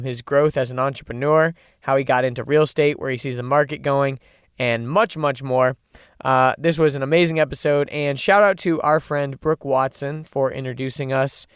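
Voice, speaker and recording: A man's voice: medium pitch, clean tone. A young man hosting a podcast, speaking in an informal, friendly, rambling way. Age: young adult. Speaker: podcast host. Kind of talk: casual podcast interview.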